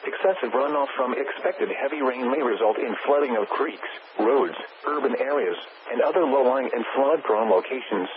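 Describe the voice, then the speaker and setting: A narrow, tinny radio signal with clear noise carries a synthetic American man's voice. He sits at a middling pitch and reads formal weather bulletins as an automated announcer. His delivery is flat and clipped at each heading. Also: moderate pace, hardly any pauses. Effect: weather radio broadcast.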